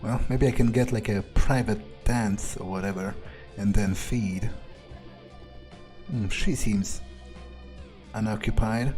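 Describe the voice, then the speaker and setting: A man's voice: lowish voice, takes it slowly, pauses often, a little mellow, faint music behind. A man roleplaying his character in a game playthrough, thinking aloud in a laconic, offhand, musing way.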